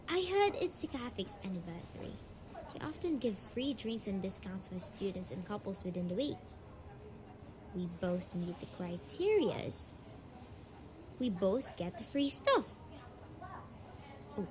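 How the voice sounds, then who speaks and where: A woman playing a brash, teasing, slangy college delinquent girl in a roleplay audio drama, sweary and playfully defensive. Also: fairly high pitch.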